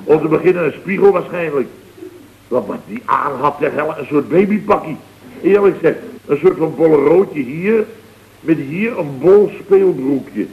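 An actor playing an indignant middle-aged boss of a building firm in an old radio comedy, exclamatory and colloquial, with a Dutch accent. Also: male voice, a little high, measured pace, vocal fry. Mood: indignant storytelling. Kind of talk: 1970s radio comedy acting. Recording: dull, noisy old radio recording.

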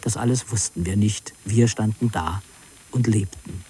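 A man narrating a scripted literary memoir in a measured, sober, reflective manner. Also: fairly low pitch, mellow, husky.

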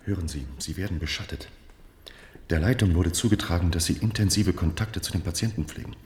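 A low-pitched man in a roomy, echoing space, an actor in a radio play. He is a secretive informant, discreet and matter-of-fact as he warns. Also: a little fast, darkish tone, slight background noise, lightly acted. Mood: conspiratorial. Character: secretive male informant giving a warning. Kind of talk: radio drama acting. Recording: roomy echo.

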